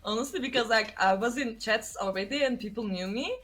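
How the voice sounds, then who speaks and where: A woman's voice: a little high, fairly quick, a bit bright. A woman from Turkey with a Turkish accent, a guest streamer in a casual podcast chat, speaking English as her second language, hesitant and giggly as she searches for words.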